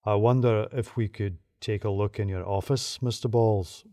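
A man's voice: on the low side, steady tone, moderate pace, dark tone, no echo, studio quality, pitched below the performer's own voice, natural voice. A man voicing a formal, cautious male security guard in an audio story, with a subdued and polite official manner.

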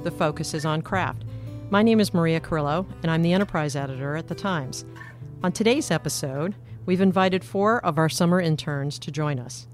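A woman hosts a newspaper podcast, steering the talk in a brisk, friendly way. Her voice sits a little low. Faint music plays behind her. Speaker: podcast host and editor. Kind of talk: hosting a podcast.

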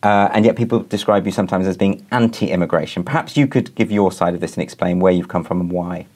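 A man's voice: sits low, quick pace, darkish tone. A man hosting a podcast interview, speaking in a polite, articulate, conversational way.

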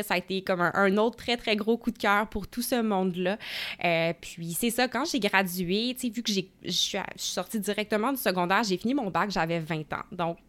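A woman's voice: medium pitch, a bit bright. A woman in her twenties from Gatineau with a Québécois French accent, a nutrition researcher telling her story as an interview guest, eager and full of fillers and restarts. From Gatineau, Quebec, Canada. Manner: enthusiastic, many fillers and restarts. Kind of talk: casual interview talk.

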